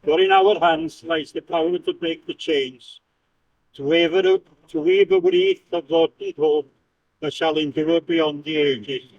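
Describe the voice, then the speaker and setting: A man from Wales reading a prepared speech aloud in a slow, declamatory way, with stumbles and restarts. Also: fairly high pitch, sing-song, creaky, dry recording, far from the mic, muffled recording. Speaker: speaker at a commemoration.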